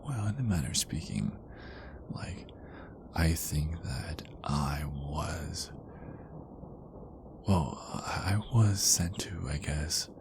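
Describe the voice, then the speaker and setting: A man playing a tender, insecure werewolf boyfriend in an intimate ASMR roleplay, speaking in a hushed, halting way that often slips into whispers. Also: a bass voice, expressive, noisy background, exaggerated voice. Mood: fond, reminiscing.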